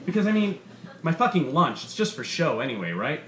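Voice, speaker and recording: A man's voice: fairly high pitch, big pitch swings, fairly quick, voice pushed up. Guy acting out a bitter robot standup comic, sweary and sardonic, echoey bar, bit of noise. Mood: ranting. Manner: sardonic, profane stand-up delivery with punchy emphasis. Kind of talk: stand-up comedy routine in a short story reading. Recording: roomy bar with faint background noise.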